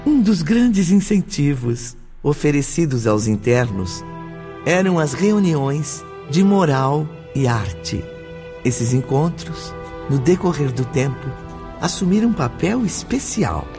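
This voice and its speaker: A man narrating a radio drama in a measured, scripted way. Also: on the high side, sing-song. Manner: slow, measured. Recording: radio drama with faint music.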